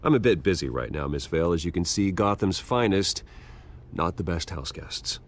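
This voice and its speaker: Deep-voiced, brooding American heir. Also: male voice, lively intonation, normal speed. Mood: guarded, dry. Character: brooding, guarded wealthy heir, a man in his thirties. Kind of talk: video game voice acting. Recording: music behind the voice.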